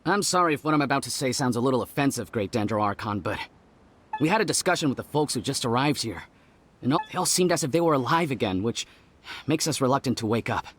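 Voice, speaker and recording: Guy doing regular villager npc in a video game, talks fast, kinda hesitant n polite, lively pitch. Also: a little hiss or hum, close to an everyday voice. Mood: apologetic, wistful. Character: ordinary villager, polite but reluctant dreamer.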